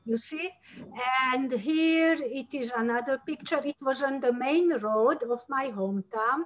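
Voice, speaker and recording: Older woman, slow and halting. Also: high voice. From Slovakia, Hungarian-speaking border town. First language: Hungarian. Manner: halting, many fillers and restarts. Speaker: interviewee, artist and Holocaust survivor's daughter. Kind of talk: video-call interview, showing slides. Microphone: video call. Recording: dull lo-fi call audio.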